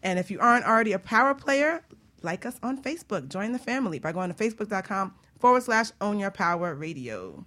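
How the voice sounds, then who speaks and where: A woman's voice: medium pitch, very animated, a little fast, bright, forceful tone. A woman hosting a radio talk show in a casual, chatty, informal way.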